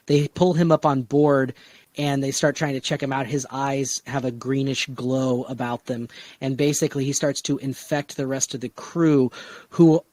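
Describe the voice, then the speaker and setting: A man casually brainstorming on a discussion podcast, talking in a halting way with vague, trailing asides. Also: medium pitch, a little mellow, no echo. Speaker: podcast co-host pitching story ideas.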